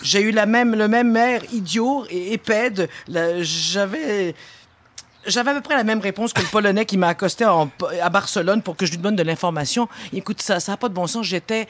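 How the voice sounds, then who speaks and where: A woman's voice: mid-range voice, normal speed. A woman with a Quebec French accent performs a comic radio column. She is theatrical and emphatic, telling everyday things in a mock-grand monologue style.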